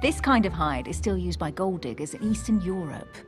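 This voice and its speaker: Actress as cool, sardonic aristocratic woman, lively intonation. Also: lowish voice, a little fast, soft background music, close to an everyday voice. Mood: dry, skeptical. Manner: nonchalant, dry, sardonic. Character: cool, skeptical, learned young aristocratic woman. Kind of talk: video game cutscene acting.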